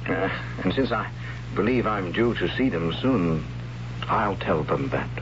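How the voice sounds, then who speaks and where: A wry, doomed captive. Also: male voice, low-pitched, expressive, unhurried. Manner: wry, ironic, incredulous. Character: cheerful doomed captive man, wry and bewildered. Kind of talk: 1970s radio drama acting. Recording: muffled old radio broadcast with hiss.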